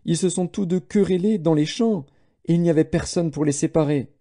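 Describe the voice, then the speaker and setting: Man voicing a pleading widow, raised pitch. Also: brisk, dark tone, natural voice. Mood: sorrowful pleading. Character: grieving widow pleading before a king. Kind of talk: scripture storytelling.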